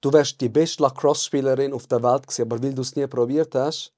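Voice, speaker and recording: A man's voice: mid-range voice, fairly even intonation, quick pace, dark tone, no room sound, studio quality. A man hosting a podcast interview, talking in an encouraging, rambling way. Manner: encouraging, rambling, frequent mhm and sag ich mal. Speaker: podcast host.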